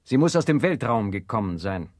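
A man playing a thoughtful science professor with a formal, deliberate manner in a children's sci-fi radio play. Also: on the low side, sing-song, no room sound, studio quality. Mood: grave.

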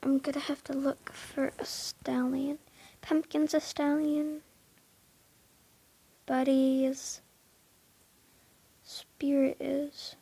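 A woman's voice: sits high, little pitch movement, slow, long pauses, dark tone, no room sound. A young woman casually talking through her horse barn in a game, hesitant, full of fillers and often dropping into a whisper.

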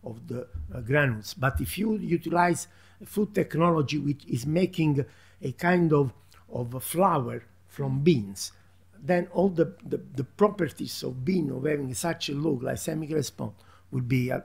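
A man speaking on a conference panel, halting with many restarts, into a table microphone in a large hall. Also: on the high side, vocal fry, a little mellow, slightly rough.

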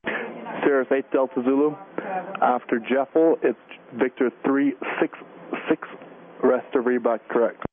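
A man, an air traffic controller reading clearances over aviation radio. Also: medium pitch, fairly even intonation, unhurried, pauses often, narrow, tinny audio, crowd noise.

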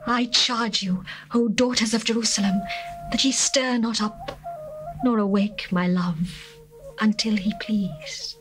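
A woman's voice: on the high side, very animated, slow pace, low-quality recording. A woman voicing a longing young bride. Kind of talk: dramatized scripture reading. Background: faint music.

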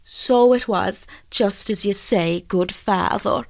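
A woman's voice: medium pitch, slow, creaky, no room sound. A woman voicing a hot-tempered peasant fisherman in a storybook tale, with folksy, emphatic, exclamatory speech. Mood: earnest. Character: hot-tempered Irish peasant fisherman, grown man, blustering and wheedling. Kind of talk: storybook character voice.

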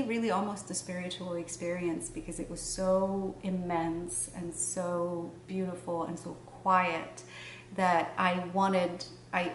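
A middle-aged woman with a fairly low voice speaks in a measured, reflective way, pausing to find her words. She sounds a little distant from the mic, and the room adds a noticeable echo with a faint hiss underneath. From Morocco. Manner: thoughtful, measured, with pauses and fillers. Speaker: novelist. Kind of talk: interview answers. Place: room in front of bookshelves.

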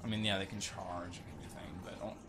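A man giving casual, sarcastic and excitable gameplay commentary as the host of a gaming video. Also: racing. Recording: noisy low-level game recording.

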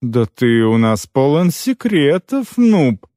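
A man's voice: mid-range voice, very animated, takes it slowly, warm tone, dry recording, studio quality. A man reading the part of a gloating gang-leader villain in a fantasy audiobook, mocking and drawn out. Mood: mocking threat.

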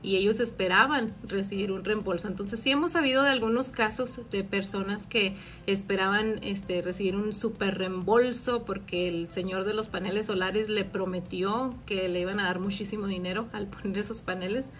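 A woman's voice: medium pitch, moderate pace. A woman, a tax advisor answering viewer questions on a live stream in a friendly, explanatory way with many filler words, filmed by a phone in a small office. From Mexico. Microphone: phone camera at arm's length.

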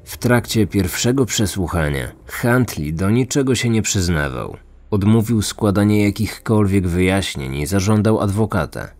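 A man's voice: on the low side, expressive, moderate pace, darkish tone. A man reading a scripted true crime story as the narrator and host. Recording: music under the voice. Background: background music.

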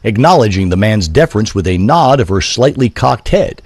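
A man reading a scripted story in a literary, deliberate storytelling manner. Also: fairly low pitch, big pitch swings, husky, no echo.

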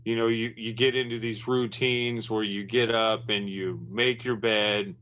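A man's voice: lowish voice, flat delivery, measured pace, a bit bright, highs cut off. A man in his forties speaking plainly and conversationally over a video call from a dim room. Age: 40s. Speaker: formerly incarcerated interviewee. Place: dark room with red backdrop. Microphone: webcam on a video call.

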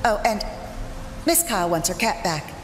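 An actress playing a cool, proud cat burglar in an audio drama, with a sardonic, scornful, theatrical delivery. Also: female voice, medium pitch, expressive, moderate pace, lightly acted. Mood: bitter, mocking. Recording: echoey, reverberant hall sound. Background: music.

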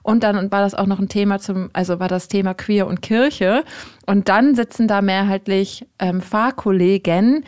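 A woman's voice: mid-range voice, steady tone, normal speed, a little mellow. A young woman hosting a podcast, talking casually and candidly about her own experience. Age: young adult. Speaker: podcast host. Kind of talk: casual conversation.

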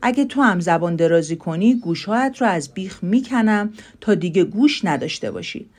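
A woman's voice: mid-range voice, animated, a little mellow, close to an everyday voice. A boastful storybook wolf, acted out by a woman. He talks in a haughty, threatening manner, claiming to be the greatest around. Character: boastful, threatening rival male wolf, quoted by rabbit.

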